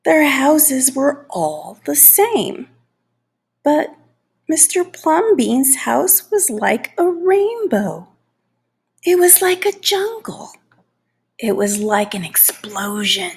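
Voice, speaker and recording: A woman reading a children's story aloud expressively and deliberately, lingering on key words. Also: high-pitched, big pitch swings, creaky. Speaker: storybook reader.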